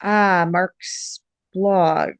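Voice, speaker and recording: A woman's voice: fairly low pitch, steady tone, extremely slow, no room sound. A woman actor playing an earnest, determined young fashion designer, naturalistic and conversational with some hesitation, in a table read. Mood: thoughtful.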